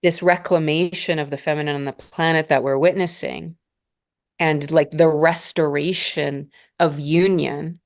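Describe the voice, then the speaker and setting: A woman in her forties from Northern Alberta, a spiritual mentor speaking as a guest in a slow, measured, reflective way. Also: sits low, fairly even intonation. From Northern Alberta, Canada. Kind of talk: reflective interview conversation.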